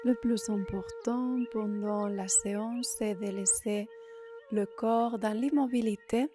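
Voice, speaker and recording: A woman guiding a meditation as a yoga nidra teacher, in short, unhurried phrases with long pauses, giving soothing instructions. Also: mid-range voice, steady tone, warm tone, faint music behind.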